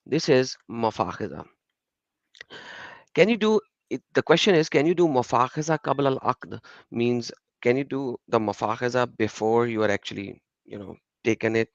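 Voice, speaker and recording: A man whose first language is Urdu speaks in an online discussion, reading texts aloud and glossing each term in turn. His voice sits at an ordinary middle pitch. It comes through a video call with dull, lo-fi, dry sound. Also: creaky, no echo. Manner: explanatory, reads passages aloud and glosses terms. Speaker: guest panelist.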